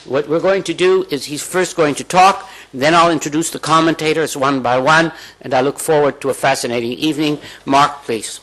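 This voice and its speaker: Older man chairing a lecture, slightly high. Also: steady tone, fairly bright tone, low-quality recording. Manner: measured, reads from notes. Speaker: chair introducing the speaker. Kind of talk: formal introduction. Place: lecture hall at a lectern. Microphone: lectern microphone.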